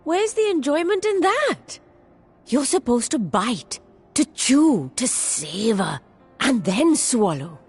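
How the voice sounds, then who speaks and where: A curious, friendly young woman scholar in a video game. Her voice is breathy and swoops eagerly, at an unhurried pace. Music plays behind. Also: high-pitched, full character voice. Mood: playful coaxing. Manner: expressive, eager. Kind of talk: video game cutscene acting.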